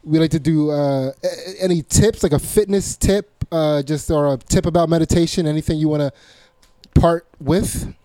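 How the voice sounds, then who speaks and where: A man's voice: fairly high pitch, steady tone, moderate pace, dark tone, no echo. A man hosting a podcast, chatting casually and often circling back to rephrase as he goes. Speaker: podcast host. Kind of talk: casual conversational chat.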